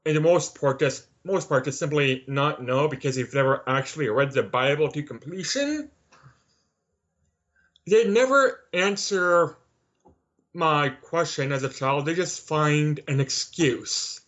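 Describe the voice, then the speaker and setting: Slightly muffled, lo-fi audio carries a man from Canada with a somewhat high voice. He talks conversationally as an interview guest, stating his views assertively. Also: a little slow, a bit bright.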